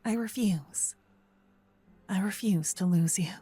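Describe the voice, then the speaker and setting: A woman voicing a gentle, possessive old female vampire in an ASMR roleplay, murmuring and whispering close to the microphone. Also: medium pitch, no room sound. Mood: protective, insistent. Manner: breathy, slow whispering and murmuring. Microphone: close binaural microphone.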